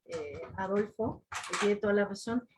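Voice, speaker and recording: A woman taking part in a conference discussion, making measured, precise clarifications. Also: medium pitch, background noise. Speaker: conference participant. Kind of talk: scholarly discussion.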